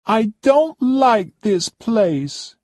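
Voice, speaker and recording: A reader voicing a spoiled, demanding woman who complains shrilly and loudly. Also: medium pitch, sing-song, drawn-out words, dry recording, clean studio sound, voice pushed up. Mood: indignant.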